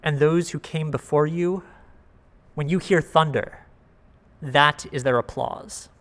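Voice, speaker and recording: A man reads poetry aloud in a voice a little higher than most men's. He goes very slowly and measures out each short phrase, with pauses between them. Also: a bit bright. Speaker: guest reader of a poem. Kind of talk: poetry reading at a worship service.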